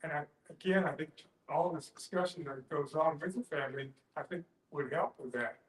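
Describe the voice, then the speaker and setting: A man speaking reflectively in a group discussion, telling personal stories in a halting way as he thinks aloud. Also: on the high side. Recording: echoey room.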